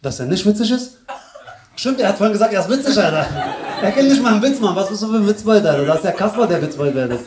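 A man in his thirties doing loud, teasing crowd work at a live stand-up show, slangy and laughing often, into a handheld mic. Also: high voice, lively intonation, fast. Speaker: stand-up comedian. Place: small comedy club stage with audience. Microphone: handheld stage mic. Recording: echoey club with audience noise.

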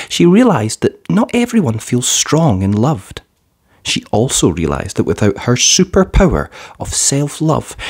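A storyteller reading a children's picture book aloud in an expressive, deliberate way, drawing out the ends of phrases. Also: medium pitch, slightly rough.

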